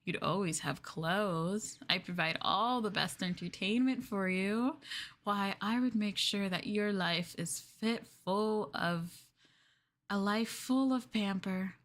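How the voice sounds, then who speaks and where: A woman's voice: medium pitch, takes it slowly, fairly bright tone. A woman playing a sweet, coy, possessive yandere girlfriend in an ASMR roleplay, with a doting, hesitant way of talking. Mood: doting, quietly menacing.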